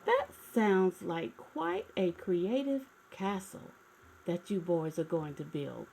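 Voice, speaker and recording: A woman voicing amused, doubting grown-up relatives from a storybook in a patronizing, measured way. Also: lowish voice, big pitch swings, gravelly, a little mellow, voice pushed down, full character voice.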